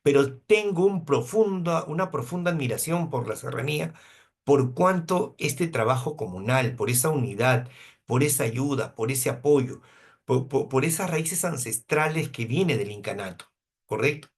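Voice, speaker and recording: A man's voice: mid-range voice, expressive, moderate pace, breathy edge. A Peruvian man in his fifties teaching a law class, asking rhetorical questions and answering them himself. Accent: Peruvian Spanish. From Peru, coast. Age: 50s. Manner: asks questions and answers them himself, uses hypothetical examples. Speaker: law lecturer.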